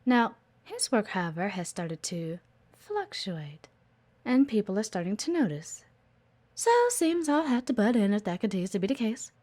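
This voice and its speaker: A woman's voice: medium pitch, very animated, moderate pace, a little mellow. A woman playing a playful, teasing, observant executive assistant in an intimate one-sided audio roleplay. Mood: coy, gossipy. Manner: coy, teasing, chatty, with murmured asides.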